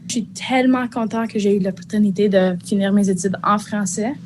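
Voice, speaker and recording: A teenage girl from northern Ontario, a high school student speaking casually and hesitantly, with many fillers. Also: steady tone, a little fast, darkish tone, a little hiss or hum. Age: teens. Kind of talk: spoken testimony.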